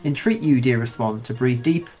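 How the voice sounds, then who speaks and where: A man's voice: medium pitch, normal speed, darkish tone, soft background music, natural voice. A man with a nasal cold voicing a grand, romantic noblewoman in a game, reading her lines in flowery, theatrical speech. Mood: grandiose.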